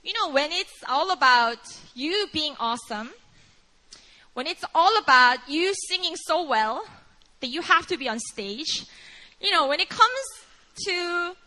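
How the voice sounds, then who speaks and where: A woman's voice: high-pitched, animated, unhurried, pushed and bright, poor audio. A young Korean woman with a Korean accent, preaching earnestly and telling a personal story, with "like" and "you know" throughout.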